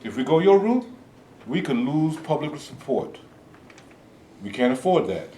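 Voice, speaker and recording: A man reading the part of a reverend, measured and earnest. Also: a little high, sing-song, mellow. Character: principled cautious male reverend leader. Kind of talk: staged reading. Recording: echoey room, noisy.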